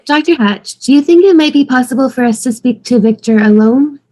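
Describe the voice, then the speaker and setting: An earnest young woman, acted by a woman in an amateur table read. She is polite and composed, with a fairly high voice. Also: expressive, a little fast, dark tone.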